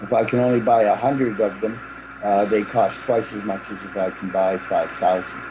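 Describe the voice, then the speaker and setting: A man guest in a casual chat, jokey and animated, speaking over a video call. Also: fairly low pitch, normal speed, background noise. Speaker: interview guest.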